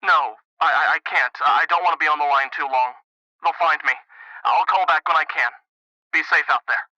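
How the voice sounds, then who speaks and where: A man's voice: on the high side, moderate pace, pushed and bright, no room sound, lo-fi sound. An actor playing a nervous, paranoid young man calling a radio show, stammering and hesitant. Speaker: radio caller.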